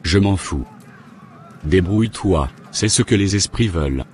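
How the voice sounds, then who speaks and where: A man's voice: deep voice, lively intonation, a little slow, darkish tone, a little hiss or hum. A man voicing a callous, domineering servant of evil spirits in a cartoon. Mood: ruthless. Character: callous male henchman of evil spirits, giving orders. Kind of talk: dramatic animated dialogue.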